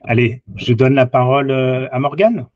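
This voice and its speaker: A man's voice: medium pitch, normal speed, no room sound, dull audio. An encouraging man casually hosting an online group call and handing the floor to participants. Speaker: host of an online training group.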